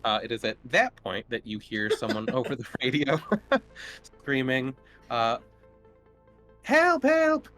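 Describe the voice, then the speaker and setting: A man narrating as game master of a tabletop roleplaying game, improvising descriptions in a halting way. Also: medium pitch, sharp tone. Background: music.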